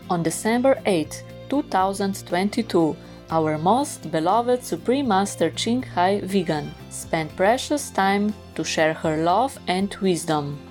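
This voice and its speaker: A woman's voice: medium pitch, darkish tone. A woman reads scripted programme narration in a formal, reverent manner. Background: faint music.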